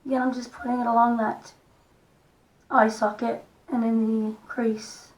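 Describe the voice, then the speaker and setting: A middle-aged woman with a croaky voice, gently talking through a makeup tutorial in a soft, unhurried way. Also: on the high side, level tone, rough recording. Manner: soft-spoken, unhurried, stops and restarts gently. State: hoarse, croaky voice. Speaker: makeup vlogger. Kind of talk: casual makeup tutorial talk.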